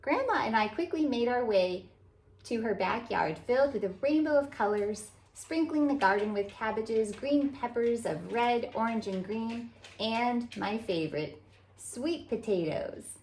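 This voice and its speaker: A woman hosting a read-aloud as a children's author, narrating a picture book in a deliberate, animated way for young children. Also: on the high side, a little hiss or hum, poor audio. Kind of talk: expressive children's storybook narration.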